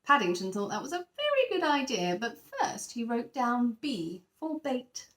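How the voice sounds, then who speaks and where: Female storyteller for young children, expressive and lively in pitch, emphatic on key words, echoey room sound. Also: normal speed. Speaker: teacher reading a children's picture book aloud. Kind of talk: expressive scripted story narration.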